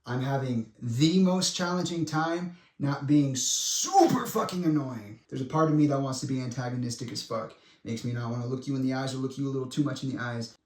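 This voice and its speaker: A man in his twenties giving a monologue to viewers in a dramatic, deliberate way, with pauses and blunt asides. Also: medium pitch. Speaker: speaker making a personal public statement. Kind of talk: semi-prepared monologue addressed to viewers. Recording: echoey room, distant microphone.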